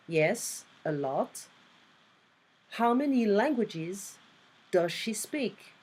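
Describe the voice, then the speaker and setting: A woman's voice: lowish voice, a little mellow, slightly rough, low-quality recording. A woman tutoring English one-on-one online, patiently explaining grammar and reading exercises aloud.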